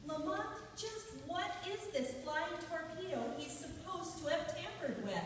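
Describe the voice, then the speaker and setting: A woman's voice: very high-pitched, lively intonation, a little slow. A woman playing a detective's earnest, anxious companion in old-time radio drama style. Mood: earnest, worried. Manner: earnest, inquisitive, emphatic. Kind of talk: 1940s radio drama acting. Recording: echoey hall, far off, noisy.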